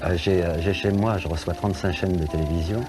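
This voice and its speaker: A man's voice: low voice, steady tone, fairly quick, music in the background. A middle-aged man from France, a singer guest on a TV show, telling anecdotes in a rambling way with many hesitations. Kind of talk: chatty interview talk.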